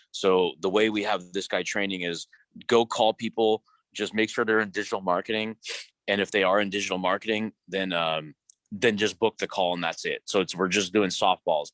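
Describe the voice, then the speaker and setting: Blunt, foul-mouthed deep-voiced man. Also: a bit bright, no echo. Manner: blunt, profane, rambling with restarts. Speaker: business coach hosting a live Q&A. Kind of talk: casual live coaching talk.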